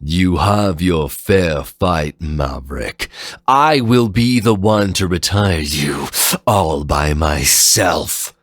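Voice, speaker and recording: A man voicing a stern, proud guardian leader in a formal, deliberate, threatening way for a dramatic story. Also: deep voice, lively intonation, no echo, studio quality. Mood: seething, commanding. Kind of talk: dramatic fan fiction reading.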